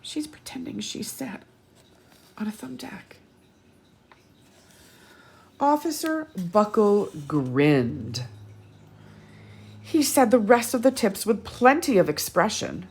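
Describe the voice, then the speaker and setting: A woman reading a picture book aloud to children expressively, pausing for asides and questions to her young listeners. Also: mid-range voice, very animated, vocal fry, a little mellow, breathy edge. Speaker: children's storytime host.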